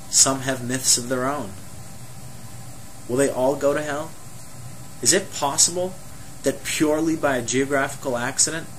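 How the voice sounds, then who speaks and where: A young man from Egypt tells his own story in a measured, earnest voice of medium pitch. He often slows down and leans on rhetorical questions. A faint hiss sits under the recording. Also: rough recording. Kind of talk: personal testimony monologue.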